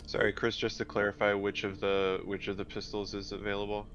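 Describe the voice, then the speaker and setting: A man with a deep voice plays a tabletop roleplaying game, casually describing his character's actions. The recording is dull and lo-fi, with noise in the background. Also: monotone, a little fast, a bit bright.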